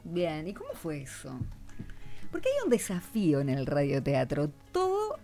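Argentine woman hosting, lively intonation. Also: low-pitched, moderate pace, faint music behind. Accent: Argentine, Rioplatense. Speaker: radio host. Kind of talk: casual live interview.

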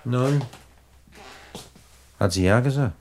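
An actor playing a fussy, courteous gentleman crook in a 1960s German radio play, with flowery, hesitant politeness. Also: mid-range voice, takes it slowly, a little hiss or hum. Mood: relieved, pleased. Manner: flowery, over-polite, hesitant, repeats himself.

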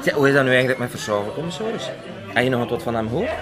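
A man's voice: medium pitch, sing-song, racing, a crowd in the background, natural voice. A man playing a chatty, gossipy café keeper with a Flemish accent in a radio drama, talking in a chummy, colloquial way.

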